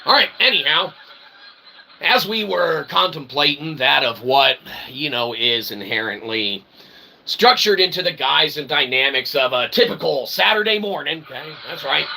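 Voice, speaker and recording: A man with a fairly high, gravelly voice rambles through a comic mock-philosophical monologue. His phrasing is pompously wordy, broken up by crude language and little tag questions. Clear ambient noise sits behind him. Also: animated, normal speed. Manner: punctuates statements with short tag questions. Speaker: solo streamer and channel host. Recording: clearly noisy, with ambient background sound.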